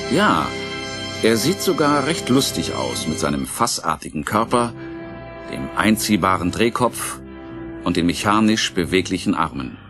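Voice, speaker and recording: A man narrating a radio play with solemn, dramatic and deliberate storytelling. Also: low-pitched, sing-song, over music.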